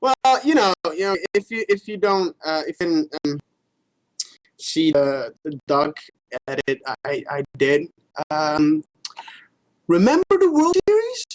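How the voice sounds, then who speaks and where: A man's voice: fairly high pitch, big pitch swings, a little slow, poor audio. A man co-hosting a casual gaming show, rambling loosely with crude, teasing swearing. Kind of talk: casual chat.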